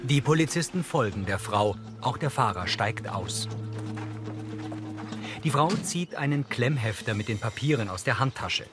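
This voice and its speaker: A man's voice: medium pitch, lively intonation, unhurried. A man reading scripted audio-description narration in a neutral, factual manner. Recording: loud music behind the voice.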